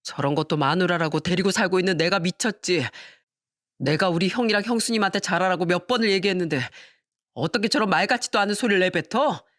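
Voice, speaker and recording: A woman's voice: fairly low pitch, fast, a bit bright, dry recording, clean studio sound, natural voice. A woman voicing an outraged younger brother who sternly berates his wife in a family drama story. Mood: outraged, demanding. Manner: stern, demanding, indignant.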